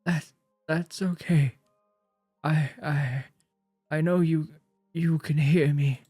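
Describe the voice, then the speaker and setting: Streamer voicing a halting, pleading, wounded monster man. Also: mid-range voice, steady tone, dark tone, breathy edge, no room sound, clean studio sound, faint music behind, pitched above the performer's own voice. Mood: pained, weak. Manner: halting, stammering, drawn-out. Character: wounded, lonely male monster, pleading and bitter.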